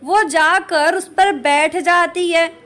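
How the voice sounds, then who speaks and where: A woman's voice: very high-pitched, fairly even intonation, slow, fairly bright tone. A woman narrating a scripted children's story.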